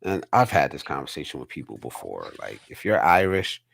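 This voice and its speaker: A man in a casual discussion, agreeing with the others and letting his sentences trail off quietly. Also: rumbling low, very animated, brisk, vocal fry, dry recording, clean studio sound.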